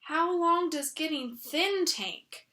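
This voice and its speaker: A woman voicing a gentle, anxious boy bear in a storybook reading, speaking slowly and plaintively. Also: extremely high pitch, full character voice. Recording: roomy echo.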